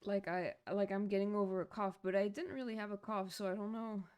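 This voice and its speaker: A woman's voice: medium pitch, fairly even intonation, a little fast, darkish tone, smooth. A young woman streaming a video game, rambling casually with lots of filler words and swearing, her voice a little shaky. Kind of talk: casual gameplay commentary.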